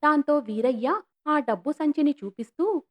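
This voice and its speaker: A woman's voice: sits high, expressive, moderate pace. A woman reading scripted moral-story narration in a storytelling role, over music. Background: background music.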